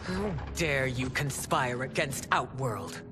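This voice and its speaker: An actress playing a proud, stern empress in a video game cutscene, speaking in a regal, formal and accusing manner. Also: female voice, extremely low pitch, slow pace, music in the background. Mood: outraged. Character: proud, imperious warrior empress, stern and indignant.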